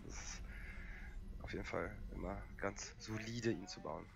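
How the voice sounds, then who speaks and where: A young man with a cold, hoarse and coughing, casually commentating a strategy game with lots of fillers. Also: lowish voice, measured pace, a little hiss or hum. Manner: relaxed and rambling, many fillers. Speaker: gaming channel host.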